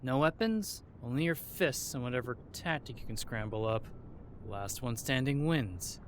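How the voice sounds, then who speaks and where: An actor playing a cheeky mob referee in an audio drama, with a showy, flippant announcer's delivery. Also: male voice, mid-range voice, expressive, moderate pace, slight background noise, natural voice. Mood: gleeful, flippant. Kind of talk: audio roleplay drama acting.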